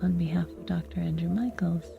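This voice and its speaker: A woman's voice: on the low side, steady tone, a little fast, vocal fry. A woman whispering a scripted ASMR podcast outro as the announcer. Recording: muffled, with music behind. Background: theme music.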